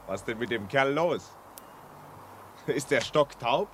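An actor in a German radio drama playing a polite, inquisitive journalist who tends to exclaim. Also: male voice, mid-range voice, lively intonation, slow pace, a bit bright. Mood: puzzled, curious. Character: curious, polite male journalist visiting a railway gorge. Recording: noisy old radio play recording.

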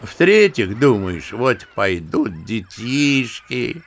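A man's voice: lowish voice, very animated, drawn-out words, full character voice. An actor playing an embittered, talkative old grandfather in an audio drama of a literary story, telling things theatrically and savouring his words. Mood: wry, lecturing. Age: older.

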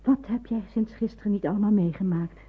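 An actress in a Dutch radio drama playing a watchful, practical nurse in hiding, explaining and cautioning. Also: female voice, mid-range voice, brisk, husky, close to an everyday voice. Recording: narrow phone-like old radio recording.

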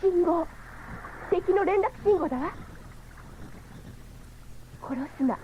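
A woman's voice: very high voice, animated, slow, exaggerated voice. A woman playing an alert young female cyborg scout in a 1970s radio drama, with dramatic, feminine phrasing. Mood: tense. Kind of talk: 1970s anime-style radio drama acting. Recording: dull, noisy 1979 radio recording.

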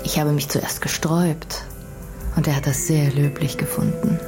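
Actress doing young woman undercover in a radio thriller, sober precise delivery, slightly low, music behind. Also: level tone, moderate pace, whispered, close to an everyday voice. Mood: sober, reporting. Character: composed young woman working as undercover decoy. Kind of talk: German radio crime drama acting.

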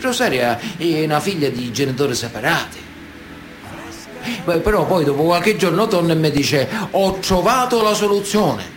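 A man's voice: on the high side, close to an everyday voice. A man acting a Sicilian mafia man of honour in a radio theatre monologue, earnest and matter-of-fact, with a Sicilian accent. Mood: pained, pleading, then anguished, halting.

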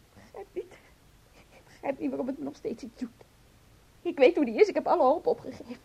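An actress in a radio drama playing a distraught, furious wife, overwrought and given to outbursts. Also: female voice, high voice, fast, gravelly, warm tone, lo-fi sound. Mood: bitter, shaken. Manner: overwrought, emotional, swinging from muttering to screaming. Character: distraught, furious wife throwing her partner out.

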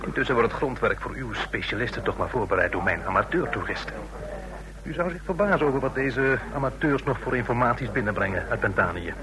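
An actor in an old radio play, playing a proud, competitive spy chief. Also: male voice, medium pitch, expressive, quick pace, lightly acted, rough recording. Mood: pointed, proud. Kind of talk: mid-century radio play acting. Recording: old radio recording, crowd behind.

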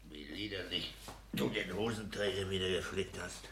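A man's voice: deep voice, normal speed, background noise, natural voice. A man playing a grumbling, pompous petty-bourgeois husband in a 1960s German radio play, scolding and bluntly self-important.

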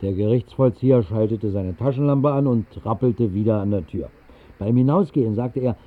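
A man's voice: mid-range voice, breathy edge, lightly acted. An actor narrating a 1960s radio drama as a whimsical bohemian drinker, telling his tale in a wry, literary way. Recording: tinny band-limited 1960s radio recording.

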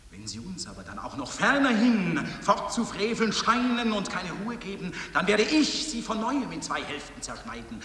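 An actor playing a pompous lecturer, declaiming mock-scientific text emphatically and theatrically in an avant-garde radio piece, recorded at a distance in an echoey hall. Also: male voice, fairly high pitch, pushed and bright, slight background noise.

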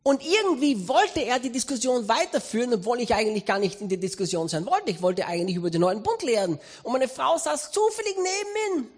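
A man with an Austrian accent preaching a sermon, telling a story with humor and lively emphasis. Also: extremely high pitch, a little fast, hardly any pauses. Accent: Austrian German. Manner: animated, humorous anecdotal delivery.

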